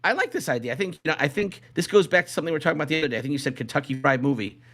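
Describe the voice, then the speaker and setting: A man's voice: fairly high pitch, animated, very fast, a bit bright. A man hosting a casual comedy podcast, talking in a rambling, joking way and commenting as he reads from a list. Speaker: podcast host.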